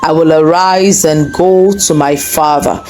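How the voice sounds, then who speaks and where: A woman's voice: low-pitched, fairly even intonation, unhurried, a little mellow, a little hiss or hum, rough recording. A woman voicing a remorseful young prodigal son in a folktale, pleading and emotional. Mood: resolved.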